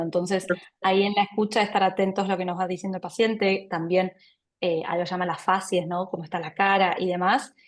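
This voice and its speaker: A woman from Argentina with a Buenos Aires accent, a doctor talking over a video call, warm and hesitant, often repeating words as she thinks. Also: fairly low pitch. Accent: Buenos Aires Spanish. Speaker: internal medicine doctor, guest speaker. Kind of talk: online talk presentation.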